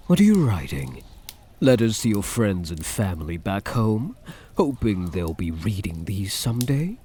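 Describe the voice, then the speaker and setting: A man as a husky, seductive vampire count. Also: fairly low pitch, darkish tone, exaggerated voice. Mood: teasing, coaxing. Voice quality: husky, breathy. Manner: slow, teasing, mock-sympathetic purr. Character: possessive, teasing male vampire count, seductive and mocking. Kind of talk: soft-spoken ASMR roleplay.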